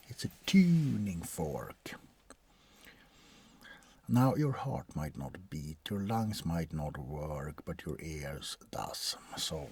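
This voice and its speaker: Man playing a slow, deep-voiced bored vampire doctor. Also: vocal fry, slight background noise, full character voice. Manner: deadpan, hesitant, drawn-out, often dropping to a whisper. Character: bored, sardonic centuries-old male vampire doctor. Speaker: ASMR role-play performer. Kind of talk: soft-spoken ASMR role-play.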